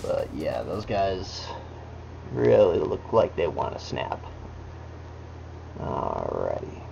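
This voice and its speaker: Slow, low-voiced man building a kit. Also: creaky, muffled recording. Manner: relaxed, talks to the parts as he works. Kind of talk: casual build tutorial. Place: indoor workbench. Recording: music bed under voice. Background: background music.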